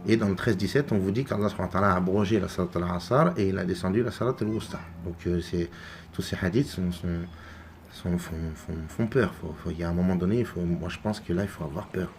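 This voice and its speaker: A man from France giving unscripted religious commentary, halting and full of repeated words and fillers. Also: low voice, slight background noise. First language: French. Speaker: religious video maker.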